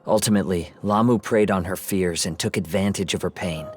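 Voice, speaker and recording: An actor playing a composed, analytical young man who talks in a calm, reasoning way in a game cutscene. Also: low-pitched, a little fast, close to an everyday voice.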